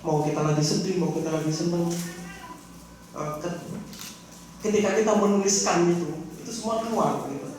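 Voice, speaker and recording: A man's voice: high-pitched, moderate pace, long pauses, a little mellow. A man from Indonesia speaking at a writing seminar, telling his story with many fillers and self-deprecating jokes. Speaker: guest speaker, novelist. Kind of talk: casual talk at a seminar. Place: seminar room. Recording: echoey room, distant mic, noisy.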